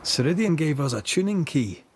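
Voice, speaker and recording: An actor playing a wry, reluctant ghost hunter in a video game, with a husky, gravelly voice and dry, sardonic manner. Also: male voice, a little high, sing-song, normal speed, a little hiss or hum. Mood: wry, curious. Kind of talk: dramatic video game acting.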